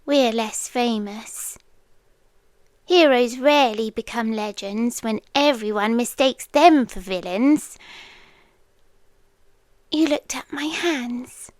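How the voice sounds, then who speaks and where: A British woman performing an ASMR roleplay as a tenderly devoted, protective female beast, speaking in a hushed voice with frequent whispers and long pauses. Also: on the high side, dry recording. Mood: solemn, intimate.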